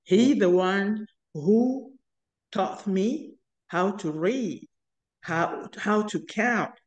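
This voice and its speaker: A woman in her fifties from Cameroon, telling her life story as an interview guest in an earnest, halting way with many pauses. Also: medium pitch, darkish tone, lo-fi sound. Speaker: guest, inventor and company founder.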